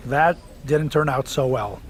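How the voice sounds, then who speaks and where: An actor playing a determined, plain-spoken wrestler in a video game cutscene. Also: male voice, medium pitch, measured pace, slight background noise, close to an everyday voice.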